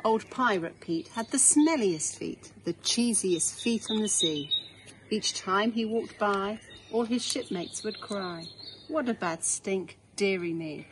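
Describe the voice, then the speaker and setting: A middle-aged woman with an English accent reads a children's storybook aloud in a measured, clearly phrased way. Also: medium pitch. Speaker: head teacher reading a picture book. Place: outdoors in a small garden. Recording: outdoor background noise.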